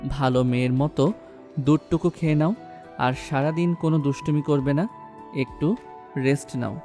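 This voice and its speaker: A man with a Bangladeshi accent playing a doting, protective young husband in an audio love story, tender and gently commanding. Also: on the high side, fairly even intonation, normal speed, soft background music, close to an everyday voice. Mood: fond, teasing.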